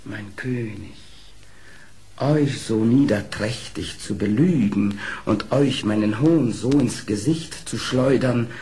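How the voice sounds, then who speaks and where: Sly fox baron, slow and theatrical. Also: male voice, lowish voice, warm tone, slight background noise. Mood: indignant, pleading. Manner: theatrical, rhetorical, deliberate. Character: sly, proud, eloquent male fox baron. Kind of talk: radio play acting.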